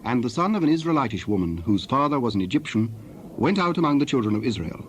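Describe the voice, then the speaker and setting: A man's voice: medium pitch, sing-song, fast, a little hiss or hum. Male scripture narrator over background ambience. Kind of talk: scripted dramatized Bible narration.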